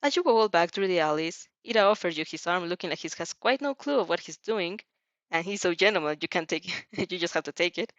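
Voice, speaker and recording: A woman reading fan fiction aloud as narrator, giggly and animated, often tripping over words and restarting. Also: fairly low pitch, brisk, dry recording. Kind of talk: animated story reading with asides. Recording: dull lo-fi microphone.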